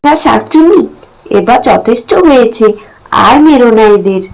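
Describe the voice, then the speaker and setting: Woman playing a high, plaintive young girl. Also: animated, moderate pace, low-quality recording. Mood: pleading, urgent. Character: meek, mistreated young girl, pleading and plaintive. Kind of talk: cartoon story voice acting.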